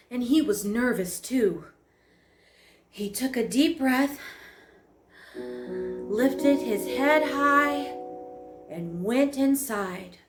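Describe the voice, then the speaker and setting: Slow, high-voiced woman reading a storybook. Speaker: children's storytime host and narrator. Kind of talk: expressive scripted storybook narration. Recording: roomy echo under prominent music. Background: guitar and piano music score.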